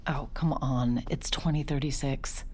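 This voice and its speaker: A woman's voice: a bass voice, fairly even intonation, measured pace, darkish tone, slight background noise. A woman playing a dry, sarcastic mind reader. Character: wry jaded young woman who reads minds. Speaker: narrator and lead. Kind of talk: audio drama acting.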